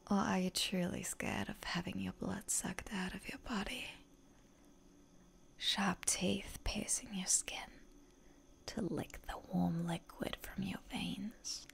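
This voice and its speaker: A woman playing a seductive, genteel lady vampire in a breathy, coaxing whisper for roleplay ASMR. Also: medium pitch, fairly even intonation, slow. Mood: coaxing, sly.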